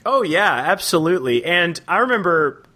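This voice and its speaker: A man co-hosting a podcast, talking about films in an animated, opinionated way, often laughing and acting out quotes. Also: high voice, normal speed. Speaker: podcast co-host. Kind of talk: casual film discussion.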